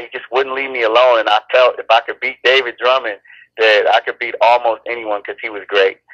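A man's voice: lowish voice, a bit bright, no echo, muffled recording. A man who raps, talking casually in an interview, rambling and restarting his sentences. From born in Chicago, raised in Los Angeles. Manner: laid-back, rambling with many restarts. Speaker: rapper, interview guest.

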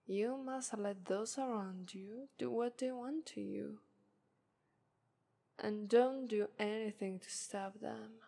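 A woman's voice: fairly high pitch, darkish tone, heavily acted. A woman voicing an arrogant, seductive female demon of lust in a whispered ASMR roleplay, with condescending, teasing taunts. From Italy. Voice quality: breathy whisper, slow and teasing. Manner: condescending, purring "ara ara" taunts. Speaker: voice actress.

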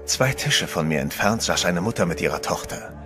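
Calm adventurer hero, first-person narration, low voice, music underneath, horror radio play. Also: male voice, moderate pace. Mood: composed. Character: calm adventurer hero telling his own story.

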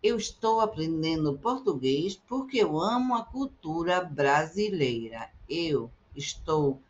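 A woman's voice: low voice, lively intonation. Woman, middle-aged, language teacher, careful articulation, slightly muffled webcam sound. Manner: slow, carefully articulated repetition. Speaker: Portuguese teacher. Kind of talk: slow repeated phrase drills for learners. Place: indoors, webcam in a circle overlay.

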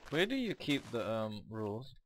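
A man with a hoarse, fading voice, chatting casually while playing a co-op game, often hesitant and stumbling over his words. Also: medium pitch, very animated, moderate pace, slight background noise. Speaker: co-op game player. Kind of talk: casual gameplay chat.

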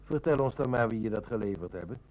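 An actor with a Netherlands Dutch accent playing a stern, shrewd police inspector in an old radio drama, speaking with dry authority. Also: male voice, fairly low pitch, expressive, fast, a little mellow, dull audio, natural voice. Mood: probing, firm.

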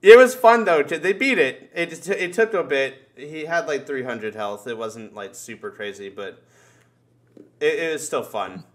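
A young man in casual conversation, joking and swearing freely in an animated way. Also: fairly high pitch, moderate pace. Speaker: podcast host. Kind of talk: casual chat. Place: indoor room on a group video call.

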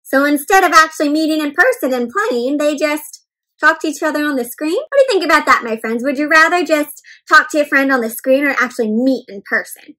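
Young female children's read-aloud host. High-pitched, bright, animated and full of questions for young listeners. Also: fairly quick, rough recording. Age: young adult. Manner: animated, exclaiming, addressing children as friends. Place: indoor room with bookshelves.

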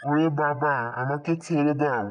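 Dull sounding but very smooth voice, man doing a scared cartoon villager begging for mercy. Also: on the high side, steady tone, moderate pace, natural voice. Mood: terrified pleading. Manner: pleading.

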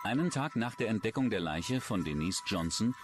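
A man's voice: lowish voice, expressive, normal speed, music in the background. A man reading scripted true-crime narration as a documentary narrator.

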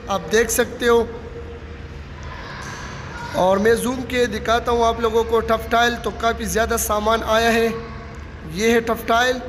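A man walking through a stadium construction site, steadily narrating updates and pointing things out to viewers. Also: very high voice. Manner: steady informal commentary, repeats "you can see". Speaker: vlogger giving site updates. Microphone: handheld phone camera. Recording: long reverb, faint site noise.

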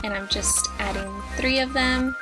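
A woman's voice: high voice, steady tone, normal speed, poor audio. A young woman, bubbly and upbeat, walking viewers through a craft tutorial step by step. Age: young adult. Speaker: DIY vlogger. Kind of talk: casual tutorial voice-over. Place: indoors in front of a brick wall. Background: background music.

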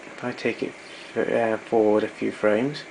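A man giving a measured, technical demonstration of a studio machine. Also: lowish voice, flat delivery, gravelly, darkish tone, a little hiss or hum, low-quality recording. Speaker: equipment demonstrator. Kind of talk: explanatory voice-over demonstration.

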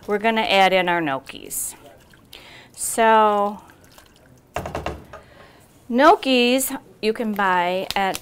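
A woman's voice: mid-range voice, clean tone. A middle-aged woman hosting a cooking show in a home kitchen, chatting casually through each step with frequent ums and restarts.

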